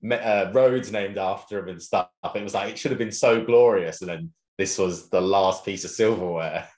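A man's voice: deep voice, normal speed, darkish tone. A man hosting a casual interview, rambling through his questions and laughing easily. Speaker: podcast host. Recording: roomy echo.

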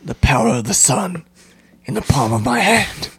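A man's voice: high-pitched, big pitch swings, normal speed, gravelly, fairly bright tone, breathy edge. A man co-hosting a podcast in casual, joking chat, swearing freely and breaking into laughter and loose movie impressions. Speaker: podcast co-host.

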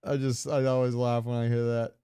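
Smooth-voiced man, dry close sound. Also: mid-range voice, flat delivery, fairly quick, warm tone, dry recording. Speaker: podcast co-host. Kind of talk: casual gaming chat.

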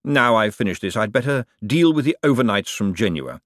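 A man reading aloud and voicing a cold, calculating ruler who speaks slowly with icy politeness. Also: on the low side, sing-song, no room sound, studio quality, voice pushed up. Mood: coolly polite. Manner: slow, measured, icily polite. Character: cold, silkily menacing male ruler, patient tyrant.

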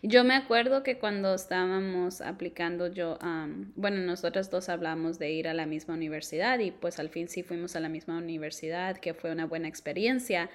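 A woman's voice: lowish voice, fairly quick, hardly any pauses. A young woman co-hosting a podcast, chatting casually and mixing in English words. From Colombia. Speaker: podcast co-host. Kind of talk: casual chat.

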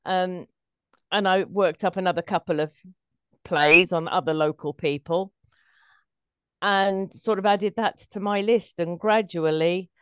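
A woman's voice: lowish voice, fairly even intonation, unhurried, smooth, no room sound. A middle-aged woman, a stage performer telling anecdotes as an interview guest.

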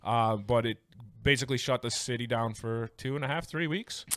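A man's voice: medium pitch, moderate pace, a bit bright, no room sound, studio quality. A man hosting a podcast in a casual, conversational way. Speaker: podcast host. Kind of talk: casual interview chat.

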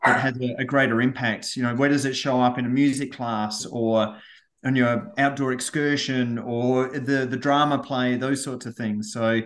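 An Australian man, a guest on a podcast interview, chatty and quick to repeat words as he gets going. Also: medium pitch, fairly even intonation, fairly bright tone. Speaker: guest, head of sustainability.

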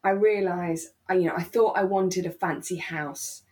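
Young female co-host, slightly low voice, casual recap chat with expressive, exclamatory storytelling. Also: normal speed. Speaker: podcast co-host.

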